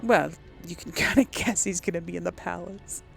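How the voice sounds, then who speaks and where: A woman's voice: lowish voice, normal speed, gravelly, faint music behind. A woman hosting a gaming video, role-playing casually and musing aloud in a hesitant way. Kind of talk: casual role-play reactions over a game.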